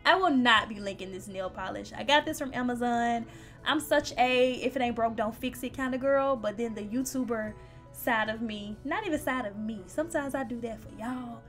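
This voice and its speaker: A chatty, playful young woman with a slightly high voice narrates her own vlog and talks straight to her viewers. Her voice-over sits over faint background music. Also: normal speed, a bit bright. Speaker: beauty vlogger.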